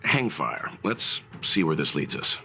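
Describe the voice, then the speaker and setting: A man playing a level-headed, practical crew mentor in a video game, measured and reassuring. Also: a bass voice, very animated, pushed and bright, faint music behind, exaggerated voice. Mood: calm.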